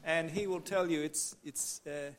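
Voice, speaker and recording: A man's voice: on the high side, steady tone. A man moderating a conference, introducing the next speaker with light jokes in a hurry. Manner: light, joking, hurried. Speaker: event moderator.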